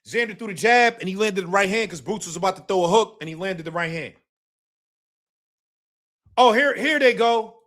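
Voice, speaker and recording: Bright, high-voiced man ranting emphatically. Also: expressive, normal speed, long pauses. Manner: emphatic, repeats himself, profane, bursts into shouts. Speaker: host of a live boxing talk stream. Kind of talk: casual live-stream commentary.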